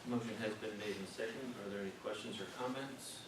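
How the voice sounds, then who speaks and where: A man's voice: fairly low pitch, quick pace. A man chairing a board meeting in formal, formulaic procedural phrases, recorded from a distance in a meeting room. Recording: noisy echoey meeting room, distant microphone.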